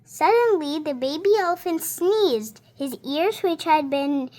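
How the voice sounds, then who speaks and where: A girl of about seven reading a storybook aloud in a careful, deliberate manner. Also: high-pitched, lively intonation, a little mellow. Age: child, about seven. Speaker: young reader.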